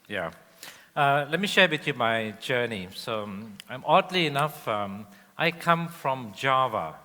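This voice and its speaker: A man from Indonesia, a guest speaker telling his life story on stage in a relaxed way with frequent fillers. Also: medium pitch, measured pace. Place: large event hall on stage. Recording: long hall reverb.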